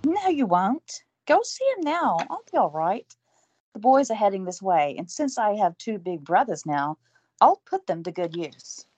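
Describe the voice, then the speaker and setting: A woman's voice: mid-range voice, very animated, normal speed, darkish tone, slight background noise, lightly acted. A woman playing a dry-witted, independent young woman who is on crutches. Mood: wry. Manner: dry and wry.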